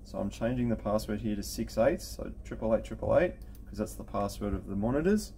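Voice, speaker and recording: A middle-aged man with a lowish, very mellow voice walks through a technical setup step by step. His delivery is informal and matter-of-fact, like an installer showing the job at his desk. There is some noticeable background noise. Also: expressive, fairly quick. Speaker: intercom installer giving a software setup tutorial. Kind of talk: casual step-by-step screen tutorial. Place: indoor office at a desk with computer.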